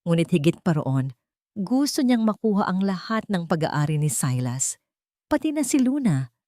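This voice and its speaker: A woman's voice: on the low side, very animated, normal speed, warm tone, no echo, studio quality. A woman with a Filipino accent reading a dramatic romance story as a scripted narration.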